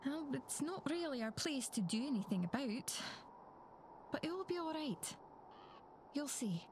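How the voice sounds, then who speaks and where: Reassuring manor woman, high voice, calm, mystery game, crow calls and ambience behind. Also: unhurried, slight background noise. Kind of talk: mystery video game voice acting.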